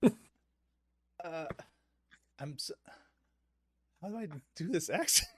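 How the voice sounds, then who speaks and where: A man's voice: sits high, big pitch swings, takes it slowly, fairly bright tone, breathy edge. A man hosting a casual podcast, often laughing at himself and stumbling over his words. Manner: laughing, self-deprecating, stumbling. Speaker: podcast host.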